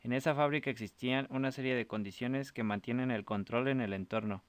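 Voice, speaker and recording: Guy reading a story, really smooth clear voice, mid pitch, dry recording. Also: fairly quick, dry recording. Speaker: story narrator. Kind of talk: scripted storytelling narration.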